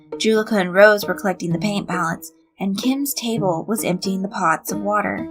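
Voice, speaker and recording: A woman's voice: mid-range voice, poor audio. A woman reading a scripted piece of fan fiction as a narrator. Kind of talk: scripted story narration with character voices. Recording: music under the voice. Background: background music.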